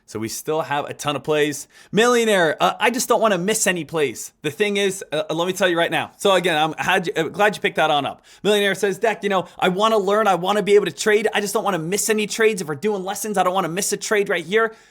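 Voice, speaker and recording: A man in his thirties teaching a live trading lesson, talking in an energetic, repetitive coaching style. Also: high-pitched, quick pace, few pauses. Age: 30s. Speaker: trading instructor.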